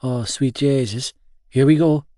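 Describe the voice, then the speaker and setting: A man voicing a loud, comically wounded young man in an audiobook, exclaiming with melodrama. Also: medium pitch, measured pace, dry recording, lightly acted. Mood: aggrieved, panicked. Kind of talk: audiobook character dialogue.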